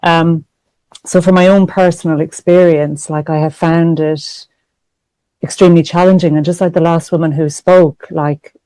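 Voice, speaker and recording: Hesitant Irish woman, mellow and dry. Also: deep voice, fairly even intonation, moderate pace, dry recording. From Ireland. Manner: hesitant, many "like" and "um" fillers. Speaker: book club participant. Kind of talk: casual discussion over video call. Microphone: video call.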